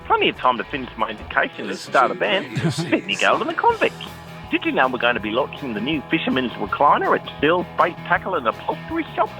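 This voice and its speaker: A man plays a gleefully oblivious caller on a comedy radio show. The voice is high and bright and swoops wildly as it rambles cheerfully off-topic. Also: wildly swooping pitch, fast talker, soft background music, heavily acted, rough recording. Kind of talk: comedy radio phone-in sketch.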